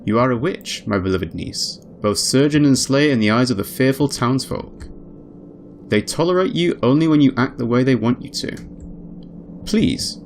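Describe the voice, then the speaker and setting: A man's voice: medium pitch, darkish tone, a little hiss or hum, close to an everyday voice. A man voicing a powerful, affectionate witch aunt in a visual novel, with a solemn, theatrical delivery. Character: powerful century-old witch aunt who looks young, commanding, affectionate.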